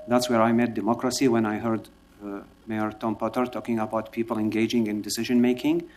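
Halting Iraqi-American man testifying. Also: medium pitch, darkish tone. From Iraq. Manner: halting, many filler uhs, earnest. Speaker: public testimony speaker. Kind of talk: public testimony at a city council. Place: council chamber.

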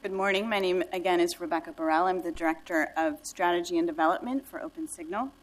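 Woman w a slightly low voice, formal prepared intro at a council meeting. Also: fast talker, low-quality recording. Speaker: nonprofit development director. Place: council chamber.

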